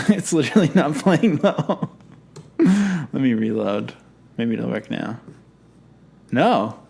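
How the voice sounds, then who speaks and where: A man's voice: medium pitch, takes it slowly, gravelly, darkish tone, breathy edge. A young man co-hosting a podcast in loose, casual chat, laughing often and swearing freely. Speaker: podcast co-host.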